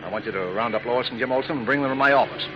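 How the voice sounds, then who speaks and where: A man's voice: mid-range voice, rapid-fire, dull audio, lightly acted. A man playing a hot-tempered, stubborn middle-aged newspaper editor in 1940s radio style, blustering and emphatic. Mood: defiant. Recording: noisy old radio recording.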